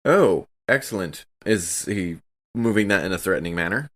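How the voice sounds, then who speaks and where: A man's voice: low-pitched, lively intonation, moderate pace. A man chatting casually as a player in a tabletop game.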